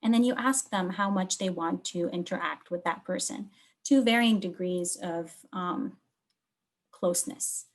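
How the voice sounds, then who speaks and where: A woman from Lebanon giving an explanatory research talk, speaking in short, measured phrases and stressing key words. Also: on the low side. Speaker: academic researcher presenting.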